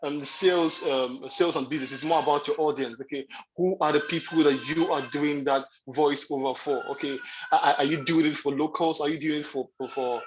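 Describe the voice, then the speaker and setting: A man's voice: fairly high pitch, steady tone, fairly quick. Hesitant man on a noisy call. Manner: hesitant, many ums and restarts. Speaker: sales and business panelist. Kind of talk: casual panel talk.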